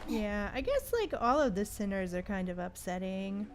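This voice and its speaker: A woman's voice: medium pitch, sing-song, normal speed, faint music behind. A woman chatting casually as she streams a game, with a playful, exclaiming way of talking to viewers. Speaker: livestream host. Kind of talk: casual gameplay livestream chat.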